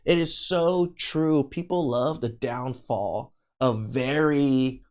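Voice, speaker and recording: A man talks off the cuff as a podcast host giving his opinions. His delivery is informal and conversational, at an ordinary middle pitch. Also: takes it slowly, darkish tone, slight background noise. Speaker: podcast host reacting to a video. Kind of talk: casual reaction commentary.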